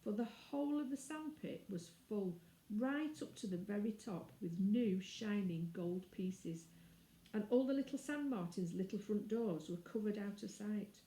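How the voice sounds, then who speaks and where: A woman reading a children's story aloud as an expressive narrator. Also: medium pitch, unhurried. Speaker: storybook reader. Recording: roomy indoor echo.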